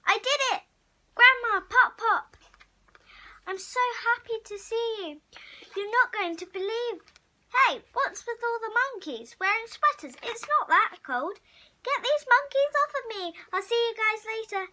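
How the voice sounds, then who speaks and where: A child reading a picture storybook aloud expressively, acting out the hero and halting over some words. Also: very high voice, lively intonation, sharp tone. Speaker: story reader.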